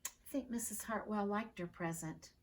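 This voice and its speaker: A woman reading aloud gives voice to an eager schoolboy, excited and quick. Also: medium pitch, far from the mic, voice pushed up, close to an everyday voice. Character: eager schoolboy with a big idea.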